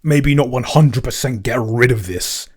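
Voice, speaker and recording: A man's voice: mid-range voice, unhurried, a little mellow, slightly rough. A man, one of a podcast's creators, chatting casually and unscripted as he answers questions, hesitant and often rephrasing mid-sentence. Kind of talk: casual unscripted behind-the-scenes chat.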